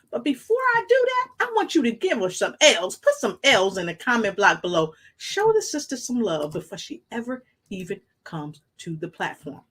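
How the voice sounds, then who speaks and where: A woman hosting an exuberant live online show, full of rhyming catchphrases, repetition and hype, with a husky voice. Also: medium pitch, a bit bright. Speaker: talk show host.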